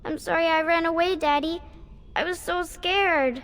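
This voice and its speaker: A woman's voice: very high-pitched, fairly even intonation, normal speed, a bit bright. A woman voicing a young, vulnerable little girl in a fantasy video game. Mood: relieved, apologetic. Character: frightened little girl, tender, pleading daughter. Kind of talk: video game character acting.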